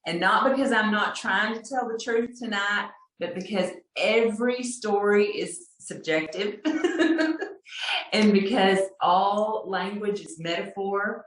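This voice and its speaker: A woman with a deep Texas accent telling a personal story in a chatty, self-deprecating way, laughing often, over a video call. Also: a bit bright, reverberant, low-quality recording.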